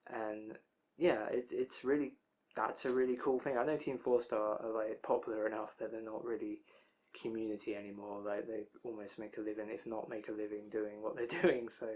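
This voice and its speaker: A man's voice: lowish voice, little pitch movement, normal speed, darkish tone, slight background noise, low-quality recording. A young man with a tired, worn-out voice, rambling casually through an anime release news roundup with loose asides.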